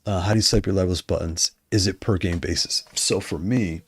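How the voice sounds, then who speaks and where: A man chatting casually on a livestream, rambling and correcting himself as he goes, his voice going hoarse. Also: deep voice, a little fast, a little hiss or hum. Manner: rambling, self-correcting, conversational. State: losing his voice. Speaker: streamer discussing controllers.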